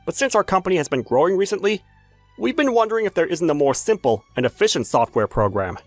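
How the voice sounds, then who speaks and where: A man voicing a courteous businessman, a company chief, in a measured, formal manner for a manga dub. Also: high voice, big pitch swings, no echo, soft background music. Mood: businesslike.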